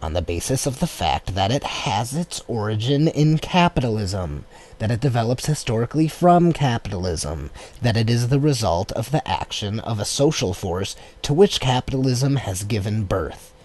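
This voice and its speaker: A man narrating a political text as an audiobook in a measured, formal manner. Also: medium pitch, sing-song, darkish tone. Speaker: audiobook reader.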